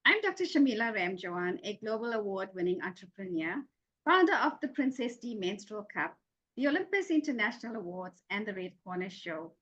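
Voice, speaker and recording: A woman's voice: medium pitch, sing-song, fairly quick, a bit bright. A woman hosting an interview show, introducing her guest in an upbeat, polished way. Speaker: show host. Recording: slightly dull lo-fi sound.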